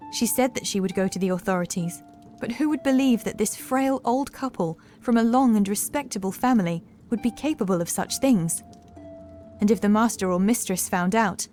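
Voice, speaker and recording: A woman playing an anxious, dreamy housemaid in a horror audiobook, confiding softly and hesitantly. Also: medium pitch, normal speed, faint music behind, close to an everyday voice. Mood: haunted, fearful. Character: fragile young housemaid haunted by cruel masters, dreamy and anxious.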